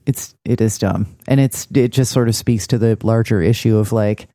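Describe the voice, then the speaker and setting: A man's voice: fairly low pitch, moderate pace, mellow, no room sound, studio quality. A man co-hosting a casual TV recap, with a critical, wry, digressive way of talking. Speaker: podcast co-host.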